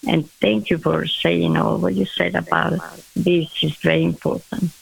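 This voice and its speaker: A woman's voice: fairly low pitch, little pitch movement, normal speed, vocal fry, slightly rough, poor audio. A woman speaking earnestly and appreciatively in a religious group discussion.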